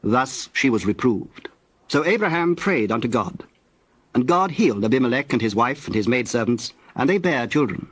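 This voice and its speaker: Male narrator, medium pitch, measured, solemn, scripted scripture reading, dry close sound. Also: lively intonation, husky, dry recording.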